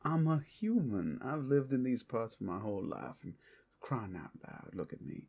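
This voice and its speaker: A man acting a bewildered, incredulous captive who insists he is human, in a hushed ASMR roleplay. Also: medium pitch, big pitch swings, moderate pace, vocal fry, husky, heavily acted. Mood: incredulous denial. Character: bewildered male captive denying he is a werewolf.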